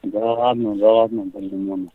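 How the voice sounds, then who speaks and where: A man's voice: fairly low pitch, level tone, moderate pace, no room sound. A man talking casually on a lo-fi phone call, blunt and repeating himself. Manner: repetitive, blunt. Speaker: truck driver receiving a prank call. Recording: dull lo-fi phone line.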